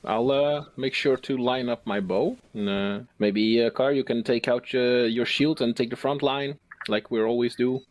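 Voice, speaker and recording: A man's voice: medium pitch, steady tone, normal speed. Hesitant man playing a tabletop game. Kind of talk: casual in-character game talk.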